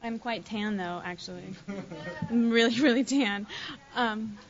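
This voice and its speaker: A woman's voice: fairly high pitch, a little slow. A woman from the United States in her thiries giving a candid, self-deprecating recovery share, rambling with many fillers. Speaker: recovery meeting speaker. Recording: dull lo-fi recording.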